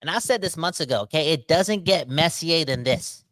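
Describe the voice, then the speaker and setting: A man, rambling through a casual livestream rant full of slang. His voice is bright and forward, and he talks straight to his viewers as he reads their comments aloud. The close mic is dry. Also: on the high side, expressive, normal speed, no room sound. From Canada. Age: 30s. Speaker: podcast host reading live chat comments.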